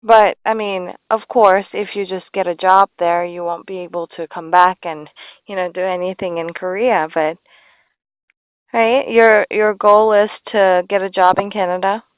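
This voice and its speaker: A woman's voice: medium pitch, steady tone, normal speed, clear, resonant tone, no echo. Attentive female interviewer, prompting questions, dry close sound. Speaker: interviewer, English conversation partner. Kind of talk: casual conversation. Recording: tinny phone-like audio.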